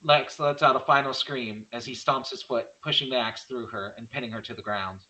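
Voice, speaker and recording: A man narrates a screenplay, reading out action and scene headings. His voice is mid-pitched, bright and forceful. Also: brisk, low-quality recording. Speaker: narrator reading stage directions. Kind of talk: scripted narration of horror screenplay.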